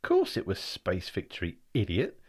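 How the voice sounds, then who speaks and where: A man voicing an excitable schoolboy brother who gushes breathlessly in an audiobook story. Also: low voice, normal speed, husky, exaggerated voice. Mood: excited. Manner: gushing, breathless boasting.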